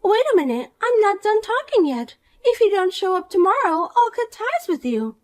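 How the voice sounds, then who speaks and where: Woman voicing a vain spiteful young woman, high pitched, very sing-song, condescending, insulting. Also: sing-song, moderate pace. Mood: scornful. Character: vain spiteful young woman, former school queen bee. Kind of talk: animated story dialogue.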